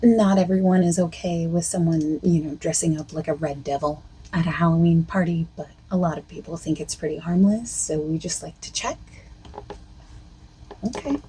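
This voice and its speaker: A woman speaking softly and partly in whispers as a costume consultant in an ASMR roleplay, with a hesitant, filler-heavy way of talking. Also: fairly even intonation, normal speed, husky, rough recording. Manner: soft-spoken, drifting between whisper and low voice. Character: female costume consultant asking the listener questions.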